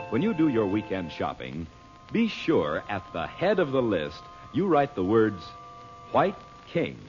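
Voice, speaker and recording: A man with an American accent, a vintage radio announcer reading scripted ads and serial narration. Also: lowish voice. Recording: muffled old radio recording. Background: loud-ish music.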